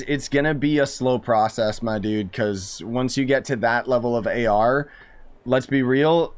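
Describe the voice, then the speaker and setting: A man's voice: medium pitch, clear, resonant tone. A man giving casual live-stream game commentary in a slangy, sarcastic, exasperated way.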